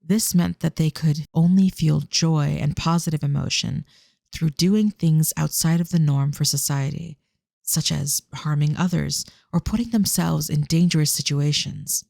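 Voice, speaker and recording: A man's voice: fairly high pitch, unhurried, gravelly, a little mellow, dry recording, studio quality. A man reading scripted narration for an audio drama as its storyteller.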